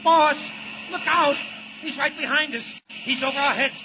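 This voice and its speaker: A man's voice: extremely high pitch, moderate pace. An actor playing a nervous male henchman who stammers, in 1940s radio acting. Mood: frantic. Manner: jittery, stammering. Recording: muffled old radio with hiss.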